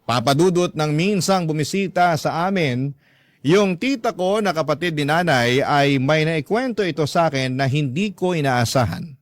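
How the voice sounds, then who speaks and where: A man's voice: a little high, animated, normal speed, dry recording, studio quality. A man reading a scripted first-person love story as the narrator of a radio drama.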